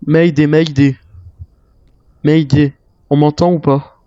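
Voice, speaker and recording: A man's voice: a little high, flat delivery, takes it slowly, mellow, dry recording, dull audio. A man improvising in character as a survivor in a zombie game, thinking aloud as he plays. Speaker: gamer roleplaying a zombie-island survivor. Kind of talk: in-character gameplay narration, improvised.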